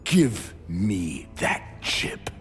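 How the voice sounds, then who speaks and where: Imperious captain in echoing space. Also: male voice, low voice, big pitch swings, drawn-out words, a bit bright, faint music behind, exaggerated voice. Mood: furious. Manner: officious, authoritarian. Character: stubborn, imperious male starship captain. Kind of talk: video game voice acting.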